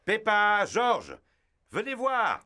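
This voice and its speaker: A man's voice: sits high, huge pitch swings, takes it slowly, pushed and bright, a little hiss or hum, heavily acted. A man voicing a good-natured, bumbling middle-aged father pig in a preschool cartoon, with hearty exclamations and reassuring talk. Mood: playful.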